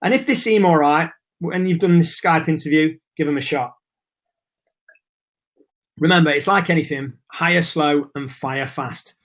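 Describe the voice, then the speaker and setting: A man's voice: on the high side, unhurried, pauses often, a bit bright. Chatty man, losing his voice. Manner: chatty, informal, reads and answers chat messages. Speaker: webinar host. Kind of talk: live webinar presentation.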